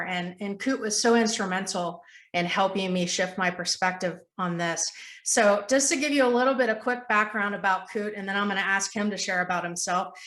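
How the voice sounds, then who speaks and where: A woman's voice: mid-range voice, pushed and bright, reverberant. A woman hosting a podcast as a health coach, talking in an upbeat, gushing, motivational way. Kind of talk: enthusiastic podcast interviewing.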